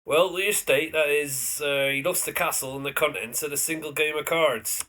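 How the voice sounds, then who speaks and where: A man's voice: fairly high pitch, normal speed, sharp tone. A man playing a gossipy, opinionated Victorian tavern landlord, telling tales knowingly in an audio drama.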